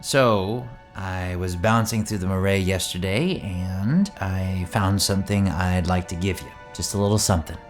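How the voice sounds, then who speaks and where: A man's voice: low voice, steady tone, normal speed, few pauses, soft background music, lightly acted. A young man acting an awkward, earnest, tech-minded boyfriend in an audio drama, hesitant, wry and naturally rambling. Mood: soft, affectionate. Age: young adult.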